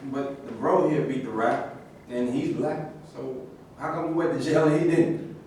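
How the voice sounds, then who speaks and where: A man playing an old former football superstar, halting and hesitant, in a staged reading. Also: medium pitch, fairly even intonation, a little mellow, natural voice. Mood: halting, reflective. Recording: echoey room, far mic, faint noise.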